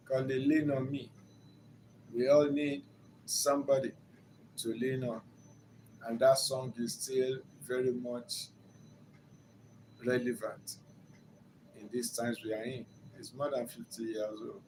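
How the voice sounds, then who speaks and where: A man's voice: mid-range voice, a little mellow, a little hiss or hum, low-quality recording. An older man with a Nigerian accent and Yoruba as his first language, giving an earnest, teaching-style talk as a relationship counselor that builds to emphatic rises.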